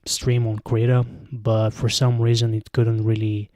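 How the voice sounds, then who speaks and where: Young Bulgarian-accented guy streaming from home, unhurried, dry close handheld mic, ums and restarts. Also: mid-range voice, level tone, dark tone, no echo. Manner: rambling, hesitant, many fillers and restarts. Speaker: live streamer. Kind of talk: casual live stream chat. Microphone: handheld microphone close to mouth.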